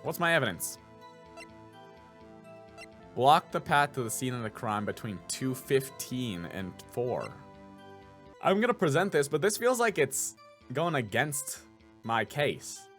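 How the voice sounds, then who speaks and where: A man's voice: mid-range voice, very animated, a little slow, pauses often, a bit bright, faint music behind. A man doing playful, theatrical gameplay commentary as he reads out game characters. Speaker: voice actor, gameplay host.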